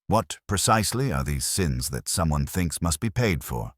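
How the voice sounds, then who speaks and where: A man voicing a brilliant, imperious detective in an audiobook mystery, speaking in a steady, formal, precise and commanding way. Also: very deep voice, normal speed, no echo, studio quality. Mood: probing. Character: brilliant, imperious male detective, curt and unhurried.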